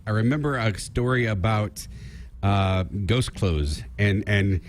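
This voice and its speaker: A man's voice: lowish voice, slow, slight background noise. A middle-aged American man hosting a casual, conversational radio interview. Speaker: radio interviewer, college radio instructor.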